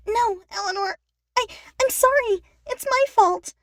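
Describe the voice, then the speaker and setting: A woman voicing an anxious, guilt-ridden young female chipmunk who speaks in a halting, apologetic way. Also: very high-pitched, fairly bright tone, dry recording, studio quality, pitched above the performer's own voice. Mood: desperate, apologetic.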